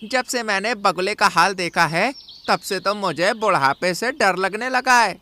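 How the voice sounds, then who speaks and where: A man's voice: high voice, expressive, a little slow, sharp tone, a little hiss or hum, heavily acted. A man voicing an earnest, anxious pigeon in a cartoon story. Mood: worried.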